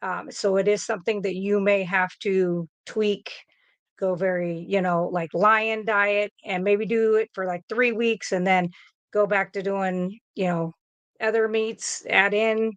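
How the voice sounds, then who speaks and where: A woman's voice: medium pitch, fairly even intonation, a little slow, clear, resonant tone, dry recording, muffled recording. A woman guest with a medical background giving earnest, conversational advice on a live panel. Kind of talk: casual panel chat.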